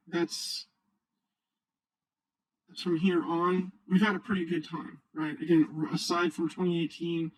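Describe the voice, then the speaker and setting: A man speaking through a voice changer, giving casual, halting, unscripted screen-share commentary as a video host. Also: a little high, no room sound, rough recording. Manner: halting, rambling, unscripted delivery. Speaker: video host, new finance YouTuber.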